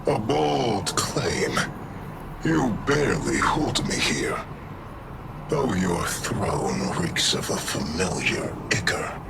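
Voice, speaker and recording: A proud undead war god speaks in a very low, creaky voice over loud music. He is brooding and disdainful, measured and formal, with big swings in tone, as dramatic game dialogue. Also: very animated, heavy background noise, full character voice. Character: proud, menacing undead alien war god, bound captive.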